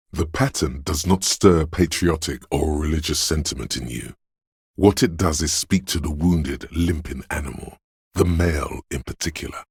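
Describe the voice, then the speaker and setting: A man voicing a primal, menacing inner voice of danger and aggression, narrating a video game in a brooding, gravelly, deliberate way. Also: darkish tone, no echo, studio quality, full character voice. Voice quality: gravelly, husky, very deep. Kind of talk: dramatic second-person game narration.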